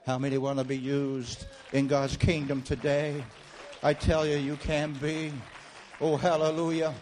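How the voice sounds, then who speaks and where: A man preaching a sermon in a church. He tells stories quietly, then leads loud, fervent prayer. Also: fairly high pitch, level tone, measured pace, a faint crowd, slight background noise. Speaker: guest preacher.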